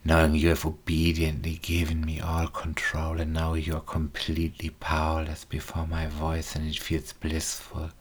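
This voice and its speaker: Male hypnotist, very low husky voice, flat delivery, slow soft repetition with whispers. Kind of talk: guided hypnosis recording.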